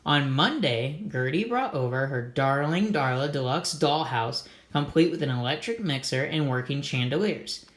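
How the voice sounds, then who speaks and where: A man's voice: mid-range voice, lively intonation, moderate pace, few pauses, fairly bright tone, reverberant. A man reading a children's storybook aloud, adding simple, encouraging comments for young listeners. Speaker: read-aloud host for children.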